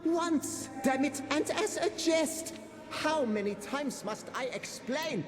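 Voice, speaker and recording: The bickering ghost of a gambling nobleman in a high, wildly swooping voice. He sounds indignant and exasperated, and the game audio carries a long reverb with clear music underneath. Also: lively intonation, takes it slowly, heavily acted. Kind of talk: video game voice acting.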